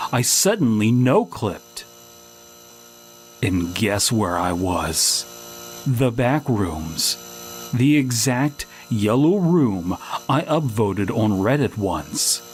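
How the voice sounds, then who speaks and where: A man hosting a podcast, reading a comic first-person horror story in a deadpan manner. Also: fairly low pitch, slight background noise. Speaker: podcast host and story reader.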